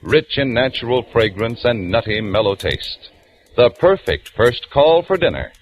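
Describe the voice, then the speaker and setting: A man's voice: mid-range voice, sing-song, measured pace, slight background noise. Man reading an old radio ad. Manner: smooth persuasive announcer delivery. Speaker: announcer. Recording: dull old radio broadcast.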